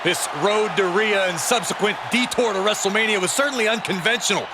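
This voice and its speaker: High-pitched male colour commentator, loud background noise. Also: brisk, a loud crowd. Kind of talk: emphatic sports commentary. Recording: very noisy arena background.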